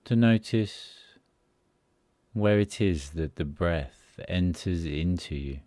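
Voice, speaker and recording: A deep-voiced man guides a relaxation meditation at an extremely slow pace. His delivery is soothing and measured, with short phrases set apart by pauses. The tone is dark and mellow. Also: lively intonation, dry recording. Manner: soothing, unhurried, pausing between short phrases.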